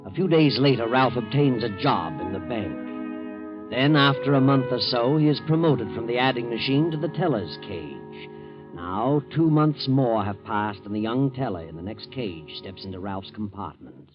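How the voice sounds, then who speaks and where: A man's voice: medium pitch, lively intonation, normal speed, music in the background. A man narrating a 1940s radio drama in dramatic scripted style, on a muffled vintage recording. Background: musical accompaniment.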